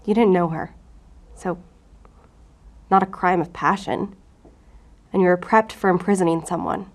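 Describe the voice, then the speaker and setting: A woman's voice: on the low side, steady tone, measured pace. A woman acting in an audio drama as a hard-edged FBI special agent, blunt, probing and short-tempered. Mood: probing, cool.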